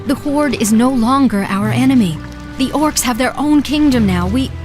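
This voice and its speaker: An actress playing a determined young sorceress leader in a video game, speaking urgently and earnestly. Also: female voice, sits high, normal speed, lightly acted. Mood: pleading, defiant. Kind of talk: video game cinematic acting. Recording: music behind.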